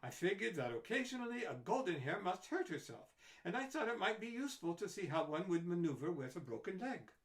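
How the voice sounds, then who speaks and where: Fussy, eccentric tiny scientist lady with an odd soft accent, voice pitched up high, a man reading her. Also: big pitch swings, a little fast, few pauses. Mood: earnest. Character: eccentric little female scientist, fussy and dotty.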